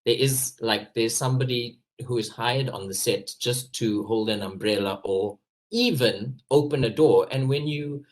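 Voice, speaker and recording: A man's voice: medium pitch, a little slow. A South African actor on a video call, recalling his time abroad in an earnest, enthusiastic way. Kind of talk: casual personal recollection.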